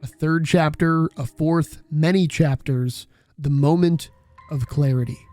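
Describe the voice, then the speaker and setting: A man's voice: a little high, measured pace, darkish tone, no echo, soft background music. A man narrating a video essay in a casual, reflective way, with the occasional swear.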